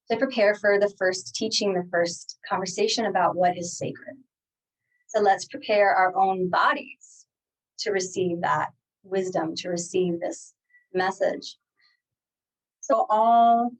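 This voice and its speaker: A woman guest speaker giving a guided spiritual talk in a gentle, reflective manner, repeating key phrases. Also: mid-range voice, poor audio.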